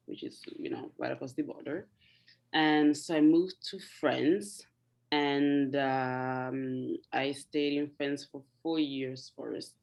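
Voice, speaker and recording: A young woman raised in Italy, Italian as her first language, telling her story in a relaxed interview. She talks in hesitant bursts, full of ums and restarts, and breaks into laughter now and then. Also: very deep voice. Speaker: interview guest. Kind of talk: casual interview chat.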